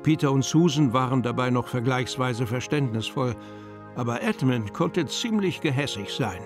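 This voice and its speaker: A man's voice: medium pitch, lively intonation, moderate pace, lightly acted. A man narrating a German children's radio play in a scripted storybook style. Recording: music behind the voice.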